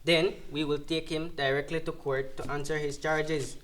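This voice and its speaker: A man's voice: on the high side, flat delivery, moderate pace, fairly bright tone, close to an everyday voice. A man playing a formal, procedural police officer in an educational dramatization. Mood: formal, official.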